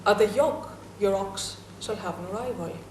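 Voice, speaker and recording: A woman's voice: mid-range voice, a little slow, darkish tone, distant mic, slight background noise, lightly acted. A woman voicing a proud, seductive goddess who grandly courts a king, in a theatrical dramatic reading. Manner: grand, coaxing, seductive declamation. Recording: echoey room, voice from off-mic, faint ambience.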